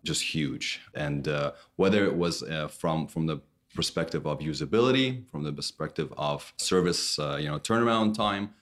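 A man's voice: extremely low pitch, moderate pace, fairly bright tone. A man in his thirties from Canada, a startup CEO answering interview questions, with many fillers and restarts.

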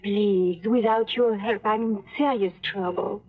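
A woman's voice: mid-range voice, fairly even intonation, a little slow, lightly acted. An actress voicing an anxious young refugee woman with a Romanian accent, speaking formal careful English in a 1950s radio drama. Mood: pleading. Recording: tinny old radio broadcast.

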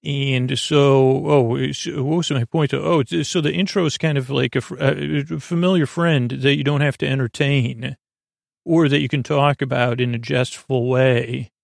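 A man with a creaky voice hosting a sleep podcast, meandering haltingly through a goofy, self-deprecating bedtime monologue. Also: medium pitch, fairly even intonation, no echo, clean studio sound. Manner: meandering, halting, trails off and repeats himself. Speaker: podcast host. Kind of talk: rambling bedtime monologue for falling asleep.

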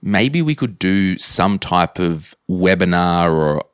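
Aussie bloke podcast host, deep voice, kinda rambly, thinking on the fly. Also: male voice, expressive, slow, clear, resonant tone, dry recording, clean studio sound. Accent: Australian. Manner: thinks aloud, rambling and tentative. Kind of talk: casual interview chat.

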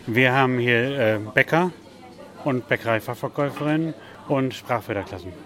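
A man's voice: medium pitch, normal speed, fairly bright tone. A man teaching bakery trades, answering briefly and matter-of-factly. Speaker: vocational teacher.

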